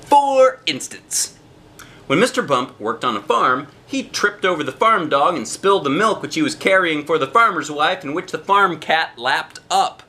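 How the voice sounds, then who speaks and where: Lively, animated man reading a storybook. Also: on the high side. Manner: expressive, drawn-out emphasis on key phrases. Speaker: storybook reader, a dad. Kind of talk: animated read-aloud of a children's storybook.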